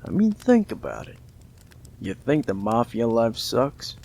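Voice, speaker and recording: A man voicing a possessive, menacing mob boss in an audio roleplay, gravelly and slow, coldly affectionate and often whispering. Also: medium pitch, huge pitch swings, dark tone, a little hiss or hum, full character voice. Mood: coaxing.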